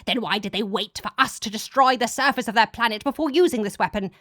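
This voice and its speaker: A woman's voice: on the high side, fast, fairly bright tone, dry recording, studio quality. A woman voicing an angry demon commander in an audiobook, exasperated and barking at subordinates. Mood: angry, baffled. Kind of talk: dramatic audiobook character voice.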